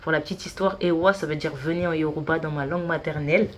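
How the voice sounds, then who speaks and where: A woman's voice: sits low, fairly even intonation, fast, a loud crowd. A woman in her thirties, a consultant guest on a podcast, talking with many hesitations and fillers. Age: 30s. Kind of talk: casual interview.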